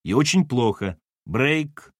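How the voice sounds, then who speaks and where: A man's voice: mid-range voice, expressive, slow pace, no room sound. A man voicing a dogged husband in an audiobook, questioning people bluntly with dry irony. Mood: wry. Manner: blunt, insistent, dryly ironic.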